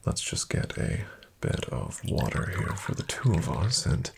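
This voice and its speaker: A man playing a suave, flattering financial advisor in a soft-spoken ASMR roleplay, hushed, courteous and unhurried, often near a whisper. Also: rumbling low, creaky, slight background noise, exaggerated voice. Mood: welcoming, courteous. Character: suave, flattering male financial advisor to wealthy elite clients.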